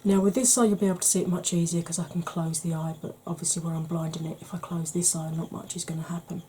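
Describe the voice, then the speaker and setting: A woman from the UK, a beauty vlogger talking through a makeup tutorial in a quiet voice that keeps slipping into whispers. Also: lowish voice, flat delivery, fast talker, a little hiss or hum. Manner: quiet, soft-spoken, drifting into whispers.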